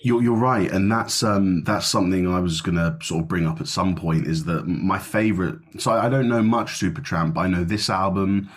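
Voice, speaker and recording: A man hosting a podcast in casual chat, sniffly with a cold, chatty and quick to laugh. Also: deep voice. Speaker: podcast host. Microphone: video call.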